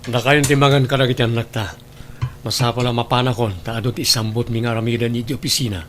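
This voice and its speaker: A man with an Ilocano accent playing a hurried working father in a radio drama. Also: medium pitch, fairly even intonation, a bit bright, slight background noise, lightly acted.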